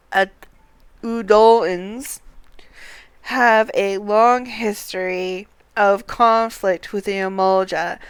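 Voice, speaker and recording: A woman game streamer reading dialogue aloud on a livestream in a deliberate, sometimes halting way, with casual commentary. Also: medium pitch, clear, resonant tone, no room sound.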